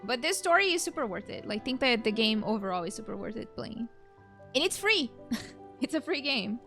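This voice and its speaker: A young woman streams a video game in a high, bright voice. She comments casually and rambles in informal phrases. Game music plays faintly behind her. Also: big pitch swings, normal speed, gravelly. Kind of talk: casual let's play commentary.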